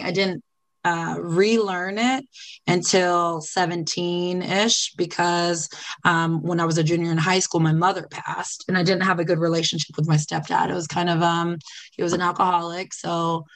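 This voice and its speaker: A woman with a fairly low voice speaks candidly as a podcast guest. She is reflective and unhurried, often pausing and restarting her thoughts. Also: level tone, a bit bright. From Italy. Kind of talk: reflective personal interview.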